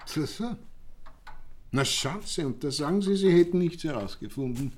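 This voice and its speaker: An actor playing a chatty, pompous, fussy village count in a radio play, with an Austrian accent and hesitant repetitions. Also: male voice, a little high, animated, moderate pace, a little mellow, slight background noise, natural voice. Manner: affable, pompous, repeats and hesitates.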